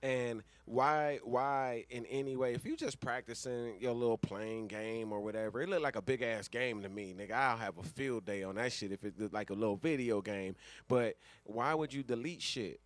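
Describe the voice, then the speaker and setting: A man's voice: mid-range voice, moderate pace, hardly any pauses, no echo. A man co-hosting a radio show in casual, speculative chat, talking in a rambling, profane and slangy way.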